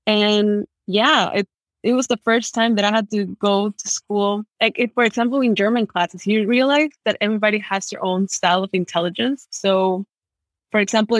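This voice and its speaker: Young Ecuadorian-raised woman guest, chatty and animated, dry close recording. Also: mid-range voice, no echo. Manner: chatty, uses lots of "like", animated storytelling. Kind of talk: casual interview conversation.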